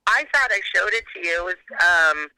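A woman guest on a phone call, telling a story in a casual, animated way and acting out her own reactions. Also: fairly low pitch, a little fast.

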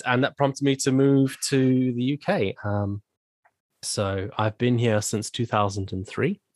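A man in his forties from Zimbabwe, a church elder telling his life story in an interview, talking thoughtfully with pauses and restarts. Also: fairly low pitch, no echo. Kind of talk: conversational interview.

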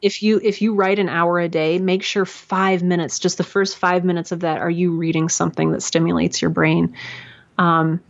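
A woman guest in a casual interview, giving advice in a chatty, animated way with frequent fillers. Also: on the low side, normal speed, gravelly, dull audio. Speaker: guest, writer and audio drama creator.

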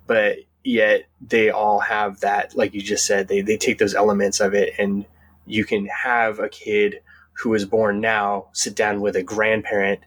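A man co-hosting a podcast in casual conversation, with a husky, rough-edged voice. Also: lowish voice, fairly bright tone, poor audio. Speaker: podcast co-host. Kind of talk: casual chat.

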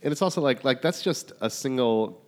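A man co-hosting a casual chat, animated and profane, rambling with restarts. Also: medium pitch, a little fast. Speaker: podcast co-host.

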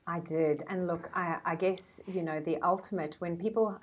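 A woman's voice: fairly low pitch, steady tone, moderate pace. A woman in her forties with a South African accent, telling stories as a podcast guest. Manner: chatty, storytelling. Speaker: guest, brand strategist. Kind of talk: podcast interview.

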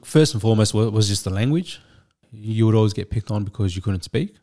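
A man, a Vietnamese speaker, telling his story as a guest in a measured, thoughtful way with frequent restarts. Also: lowish voice, mellow. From Australia. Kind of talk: reflective storytelling.

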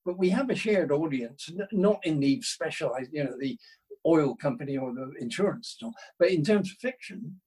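A British man talks casually as an interview guest. His voice is fairly high for a man and noticeably husky. He rambles through his thoughts in a self-deprecating, discursive way. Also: lively intonation, moderate pace, a little mellow, poor audio. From United Kingdom.

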